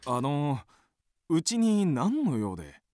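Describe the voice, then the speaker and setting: A man's voice: fairly high pitch, huge pitch swings, takes it slowly, dark tone, slight background noise, full character voice. An actor playing an easygoing husband and father in a radio drama, with a light-hearted manner. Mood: hesitant, polite. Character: easygoing, joking family man, husband and father.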